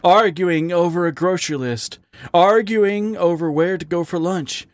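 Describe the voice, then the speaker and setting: A man's voice: high voice, measured pace, dry recording, voice pushed up. A man voicing a wounded, self-mocking male raccoon who speaks with brittle wryness. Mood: wry. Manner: wry, brittle, raised voice.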